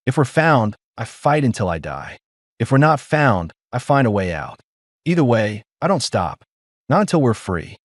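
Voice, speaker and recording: A man voicing a desperate, protective alien mother who talks in a hushed, strained and determined way. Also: medium pitch, sing-song, normal speed, no room sound. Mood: resolute.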